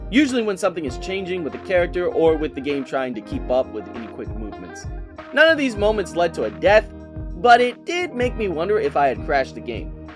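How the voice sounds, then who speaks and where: Animated, high-voiced male game reviewer. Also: fairly quick, music in the background. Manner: enthusiastic, playful, expressive. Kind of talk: casual scripted review narration.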